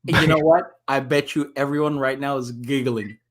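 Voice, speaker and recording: A man's voice: mid-range voice, fairly even intonation, moderate pace. A man reading a children's book aloud as a storyteller, playful and given to chuckling asides.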